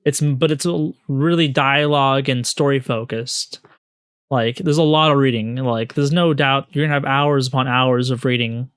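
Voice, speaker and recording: Clear-voiced man explaining casually, haltingly. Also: mid-range voice, steady tone, fairly bright tone, no echo, clean studio sound. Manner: halting, repetitive, peppered with "you know". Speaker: podcast co-host.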